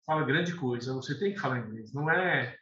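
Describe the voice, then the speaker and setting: A man's voice: mid-range voice, a little fast, sharp tone. A man in his sixties, born in Lebanon with Arabic as his first language, talking unhurriedly as an interview guest, with fillers and restarts. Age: 60s. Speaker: guest, eye doctor. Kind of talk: conversational interview. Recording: echoey room, slightly dull sound, faint noise.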